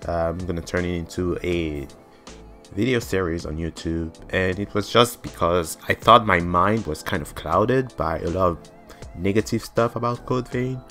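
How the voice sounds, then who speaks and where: Guy in his 20s, french accent, low voice, blunt and sweary gaming commentary over faint music. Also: fairly bright tone. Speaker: gamer. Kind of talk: casual gameplay commentary.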